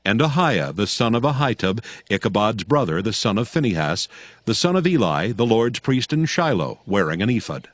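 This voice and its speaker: A man narrating a dramatized Bible in a solemn, measured, scripted style. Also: fairly low pitch, big pitch swings, no echo. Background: music.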